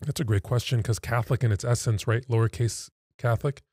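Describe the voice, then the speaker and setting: A man in his thirties, a podcast guest explaining things conversationally in measured, emphatic phrases. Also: mid-range voice, fairly even intonation, a little mellow, breathy edge, no room sound, studio quality. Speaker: podcast guest, pastoral associate. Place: small indoor podcast studio. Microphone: close studio mic on stand.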